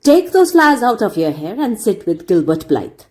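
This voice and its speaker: A woman's voice: medium pitch, sing-song, moderate pace, darkish tone, close to an everyday voice. A woman voicing a stern, sarcastic male schoolmaster in a storybook reading, giving cold commands. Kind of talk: storybook character voice in read-aloud.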